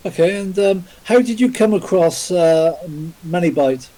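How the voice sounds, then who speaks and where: A man with a fairly high but mellow voice hosts a live podcast over voice chat. He speaks politely and formally, with many "um"s and "uh"s. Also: unhurried. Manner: polite, formal, filler-heavy. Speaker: podcast host, interviewer. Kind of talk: hosting a live podcast interview. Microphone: remote voice chat call.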